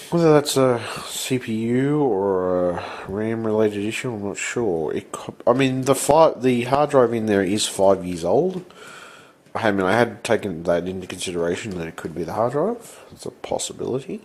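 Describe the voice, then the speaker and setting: A man with blocked sinuses, talking off camera at a home workbench in a rambling, halting way that breaks into swearing when he is frustrated. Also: on the low side, expressive, darkish tone. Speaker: channel host. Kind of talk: casual vlog.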